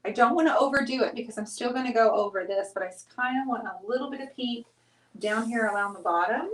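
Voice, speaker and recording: A woman's voice: fairly high pitch, fast talker. A middle-aged woman hosting a painting show, chatting casually and thinking aloud as she paints. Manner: chatty, teasing, thinks aloud while working. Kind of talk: casual live-stream chat while painting. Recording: roomy sound from a distance.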